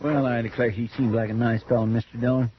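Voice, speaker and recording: An actor playing a folksy, deferential and inquisitive deputy sidekick with a rural American accent in an old-time radio western. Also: male voice, mid-range voice, level tone, fast, noisy background, close to an everyday voice. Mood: earnest. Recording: muffled old radio recording, background voices.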